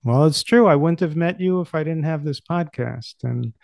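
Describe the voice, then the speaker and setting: An older man hosting a casual interview in a jokey, halting conversational way, close on a desk microphone. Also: on the high side, vocal fry, darkish tone, dry recording. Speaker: podcast host, interviewer. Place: indoor book-lined home office, video call.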